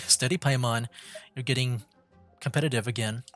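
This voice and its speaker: A man's voice: mid-range voice, steady tone, moderate pace, music in the background. A man streaming a game with casual, easygoing, rambling commentary. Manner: easygoing, chuckling, rambling asides.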